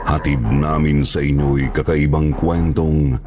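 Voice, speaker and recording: A man's voice: very deep voice, flat delivery, slow, over music. A man hosting and narrating horror stories in a solemn, theatrical scripted style. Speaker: horror story narrator and host.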